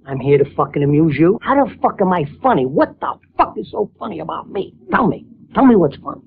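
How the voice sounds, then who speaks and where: Menacing, volatile mobster, gravelly, tinny film-clip sound. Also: male voice, fairly high pitch, very animated, fairly quick. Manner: menacing, confrontational questioning. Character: volatile hot-tempered male gangster, menacing.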